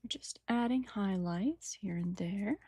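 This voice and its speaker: A woman with a raspy morning voice chatting casually and rambling while she paints a model at her desk, on a new microphone without filters. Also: mid-range voice, a little slow, warm tone, dry recording. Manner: rambling, apologetic, trails off mid-sentence. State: early-morning raspiness. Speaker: hobby painter and channel host. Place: home desk setup.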